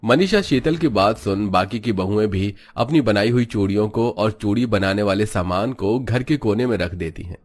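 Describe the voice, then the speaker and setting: A man reading scripted narration for an animated family story. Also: on the low side, moderate pace, few pauses.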